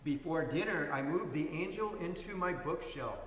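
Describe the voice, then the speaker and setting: A man's voice: on the high side, animated, normal speed, a bit bright. A man reading a scripted first-person story aloud as part of a dramatic reading. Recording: echoey hall, distant mic, faint noise.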